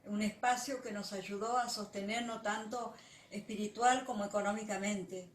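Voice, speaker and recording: A woman's voice: mid-range voice, fairly even intonation. Earnest Argentine woman, distant echoey sound. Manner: earnest, halting with restarts. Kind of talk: testimonial appeal. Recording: echoey and distant with faint noise.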